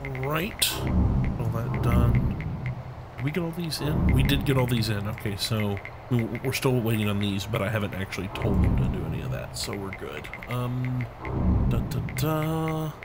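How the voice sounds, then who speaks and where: A man's voice: mid-range voice, normal speed, over loud music. A man hosting a game let's play, rambling as he thinks aloud and humming to himself, his voice a bit scratchy from being ill. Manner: rambling, thinks aloud, hums filler tunes. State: recovering from illness, a bit scratchy. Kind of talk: casual gameplay commentary.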